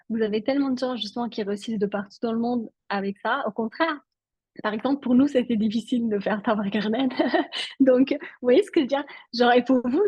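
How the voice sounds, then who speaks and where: A woman's voice: a little high, darkish tone, breathy edge, no echo, muffled recording. A woman from Switzerland whose first language is Italian, speaking as an interview guest in a chatty way, with many filler words. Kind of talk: casual interview talk.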